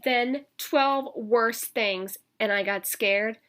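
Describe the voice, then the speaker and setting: A woman teacher reading a picture book aloud to children, expressive and emphatic, with casual asides to her listeners. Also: a little high, extremely slow, noisy background.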